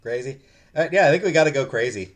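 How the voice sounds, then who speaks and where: A man's voice: medium pitch, lively intonation, quick pace. A man streaming a visual novel, switching between casual chat with viewers and reading narration aloud.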